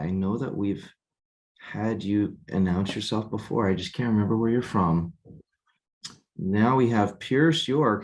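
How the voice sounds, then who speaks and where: A man hosting a weekly video call, talking in a relaxed, halting way and often repeating words. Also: on the low side. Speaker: host.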